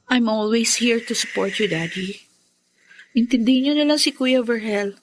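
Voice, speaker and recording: A gentle young daughter in a radio drama, voiced by a woman. She speaks reassuringly and tries to calm her family. Her voice sits a little high. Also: normal speed, slight background noise, close to an everyday voice, rough recording. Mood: soothing. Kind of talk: Filipino radio drama acting.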